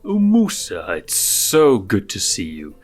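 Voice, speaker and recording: A man voicing a calm, fatherly lion king with measured authority in a storybook reading. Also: fairly low pitch, soft background music, exaggerated voice. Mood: welcoming.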